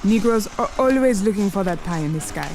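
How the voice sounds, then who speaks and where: A woman's voice: medium pitch, animated, a little fast, a little mellow, faint music behind, close to an everyday voice, poor audio. An actress playing an imperious, cunning gambling queen of 1920s Harlem in a period audio drama, proud and commanding. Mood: proud, scornful. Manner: commanding, haughty.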